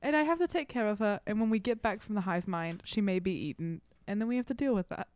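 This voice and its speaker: A woman's voice: medium pitch, expressive, fast talker, darkish tone, clean tone. A woman playing a tabletop game, bantering casually in character with an emphatic, slangy delivery.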